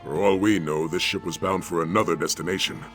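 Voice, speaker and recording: A very deep-voiced veteran captain from a fantasy video game. He is grave and courteous, choosing his words formally and at a measured clip. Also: fairly even intonation, fairly bright tone, faint music behind. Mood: measured, businesslike. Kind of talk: video game character dialogue.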